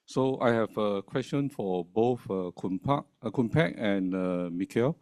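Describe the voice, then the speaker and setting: Man, deep mellow tone, dry recording, casual question, says right a lot. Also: expressive, a little slow, no room sound. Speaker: audience member asking a question. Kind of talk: audience question at a panel.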